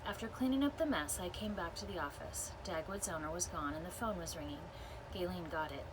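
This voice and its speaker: Woman reading aloud, clear background noise. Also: lowish voice, brisk. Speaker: teacher reading aloud to students. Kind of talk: expressive children's story read-aloud. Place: inside an RV parked in a backyard.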